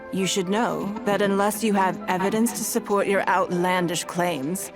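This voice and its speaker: An actress playing a composed, shrewd, wealthy businesswoman in a video game, with measured, formal, deliberate diction. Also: mid-range voice, fairly even intonation, over music, lightly acted. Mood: firm, unruffled. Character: composed shrewd wealthy businesswoman, young adult, coolly authoritative.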